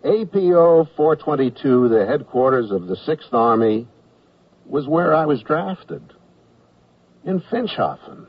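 Earnest American soldier, wry radio comedy narration, very varied intonation, dulled broadcast sound. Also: male voice, fairly high pitch, big pitch swings, measured pace, husky, full character voice. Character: earnest young army private narrating his wartime memoir. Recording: muffled 1979 radio broadcast, music behind.

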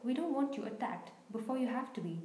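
A woman's voice: high voice, expressive, quick pace, dark tone, a little hiss or hum. A woman reading a storybook voices a serious teenage team captain giving firm instructions. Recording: roomy echo.

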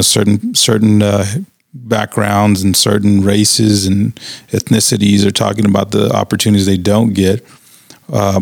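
A man's voice: lowish voice, vocal fry, no room sound, studio quality. A man hosting an interview with coaches, talking casually and energetically with many "uh" fillers. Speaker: podcast host interviewing coaches.

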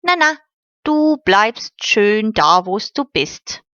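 A woman's voice: medium pitch, big pitch swings, slow pace, a bit bright, no echo. Lady doing a bossy careful kid, firm, dull lo-fi sound. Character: bossy, cautious boy, takes charge.

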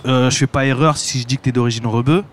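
A man interviewing a guest on a podcast, relaxed and slangy, with short reactions. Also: mid-range voice, fast talker. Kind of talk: casual interview chat.

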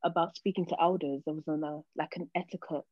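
A young woman on a podcast panel, chatting in a relaxed way, filling her speech with "like" and "um". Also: sits low, fast, no room sound. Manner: relaxed, filler-heavy, lots of "like" and "um". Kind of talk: casual panel chat. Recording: slightly muffled lo-fi audio.

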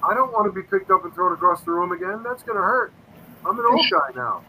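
Man in his fifties, bright forceful tone, somewhat high voice, rambling and earnest, faint hum behind. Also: expressive, quick pace, rough recording. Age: 50s. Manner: rambling, earnest, quotes himself often. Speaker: paranormal investigator guest. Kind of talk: casual interview storytelling.

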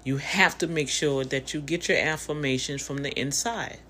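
A woman's voice: deep voice, normal speed, fairly bright tone, a little hiss or hum. A woman in her fifties giving a casual motivational talk, conversational and preachy, speaking straight to her listeners. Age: 50s.

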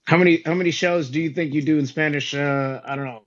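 A man's voice: on the high side, fairly even intonation, quick pace, no room sound. A man who does stand-up comedy, chatting casually on a podcast, agreeable and affirming, with slangy phrasing. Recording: dull lo-fi sound with background noise, clicks.

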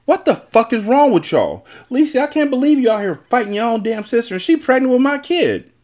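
A man voicing an indignant, foul-mouthed man yelling in drawn-out exasperation in a story reading. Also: mid-range voice, very animated, creaky. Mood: outraged.

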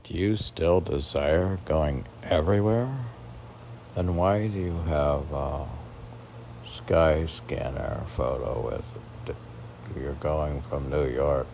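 A man's voice: rumbling low, slow, darkish tone, slight background noise. A man musing aloud in a rambling poetry reading, talking to himself as he presents his own poems. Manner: musing, talks to himself in questions. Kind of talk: rambling poetry reading with self-questioning commentary.

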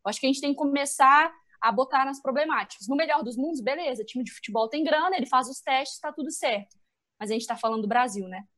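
A woman with a Minas Gerais accent, a sports journalist guest giving animated, opinionated views on a video call. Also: sits high, fast talker. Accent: Minas Gerais Portuguese. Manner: animated, opinionated, thinks aloud with restarts. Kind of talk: casual video-call discussion.

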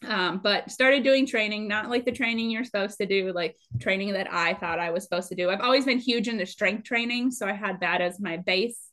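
A woman, chatting casually and animatedly as she tells stories. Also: medium pitch. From Minnesota, United States. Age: late 30s. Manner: chatty, animated, quick to laugh. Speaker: podcast guest, amateur trail runner. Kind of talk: casual conversational storytelling.

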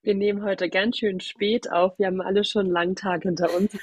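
Woman co-host, stuffy nose from a cold, husky, dry sound. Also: mid-range voice, level tone, brisk, a little mellow, no echo. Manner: chatty, laughs often. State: nasal from a cold. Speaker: podcast co-host. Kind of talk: casual conversation.

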